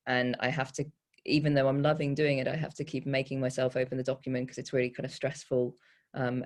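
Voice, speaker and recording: A poet and panel guest giving thoughtful, hesitant answers, often self-correcting and gently wry. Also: medium pitch.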